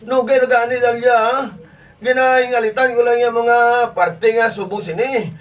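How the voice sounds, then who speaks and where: A man's voice: very high voice, steady tone, moderate pace. A man with an Ilonggo accent playing a grumbling, defensive, tight-fisted working husband in a comedy skit, exclaiming and complaining. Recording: distant mic.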